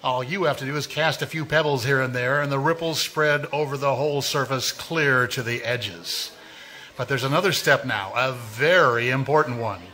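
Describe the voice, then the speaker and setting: An actor playing a sly, knowing male narrator in a live recreation of a 1940s radio mystery, speaking in a smooth, insinuating way. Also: medium pitch, moderate pace, pushed and bright, close to an everyday voice. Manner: smooth, insinuating address to the listener.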